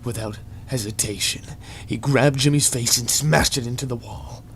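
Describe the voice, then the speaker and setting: A man narrates a story as a dramatic reading. His voice sits at an average male pitch. A faint layer of noise runs under the recording. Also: normal speed. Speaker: narrator of a fan fiction reading.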